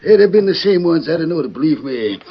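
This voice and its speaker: An actor playing a folksy, protective old rancher with an American West accent in an old-time radio western. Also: male voice, fairly high pitch, expressive, fast. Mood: urgent, reassuring. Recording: muffled old radio broadcast with noise.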